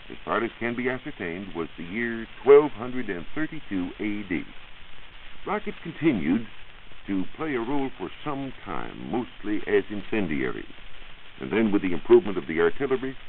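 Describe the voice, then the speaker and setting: A man with an American accent explaining as a science reporter in scripted 1940s radio style. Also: on the low side. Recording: tinny, lightly hissy 1940s radio recording.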